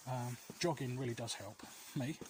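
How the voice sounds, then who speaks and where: Man rambling over clear outdoor noise. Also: mid-range voice, normal speed. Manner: rambling, often losing his thread. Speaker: vlog host. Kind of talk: casual advice chat to viewers while walking. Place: outdoors, walking along alleys. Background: outdoor ambient noise.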